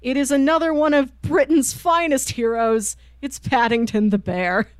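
A woman's voice: high-pitched, normal speed, clean tone, dry recording. Chatty woman podcaster, dry close sound. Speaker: podcast co-host. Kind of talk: casual chat, pitching films to co-host.

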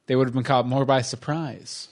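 A man's voice: mid-range voice, fairly even intonation, normal speed. A man co-hosting a casual episode commentary, tossing out wry, joking asides.

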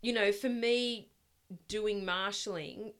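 Bright-voiced middle-aged Australian woman. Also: mid-range voice, steady tone, takes it slowly, clear, resonant tone. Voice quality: loud, projecting voice. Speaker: interview guest, swim club parent volunteer. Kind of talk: casual reminiscing conversation.

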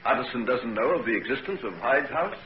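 A man acting an earnest, secretive young gentleman in a 1940s radio drama. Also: medium pitch, expressive, a little fast, dull audio, natural voice. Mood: earnest, assured. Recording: noisy old 1940s radio recording.

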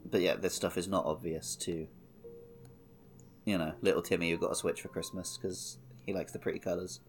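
Male gaming podcast co-host with a fairly low voice, conversational and informal. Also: level tone, normal speed, faint music behind. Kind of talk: casual video game playalong chat.

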